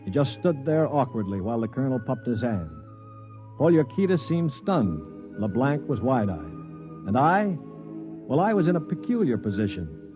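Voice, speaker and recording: A man's voice: mid-range voice, muffled recording, lightly acted. A male actor playing a tense, decisive leader of captive men in a 1950s radio drama, urgent and commanding. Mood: reflective narration. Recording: noisy old 1950s radio recording.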